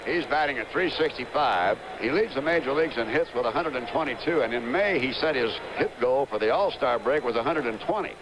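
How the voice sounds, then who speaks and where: A man's voice: medium pitch, fairly quick, hardly any pauses. Man calling baseball over a noisy old broadcast. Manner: steady, stat-heavy calling of the action. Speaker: play-by-play announcer. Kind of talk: live baseball commentary. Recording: noisy 1970s broadcast recording.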